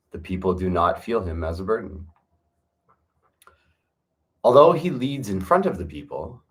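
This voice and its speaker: A man reading an old wisdom text aloud in a measured, earnest way, with brief casual asides to his listeners. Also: lowish voice. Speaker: reader of a classic text.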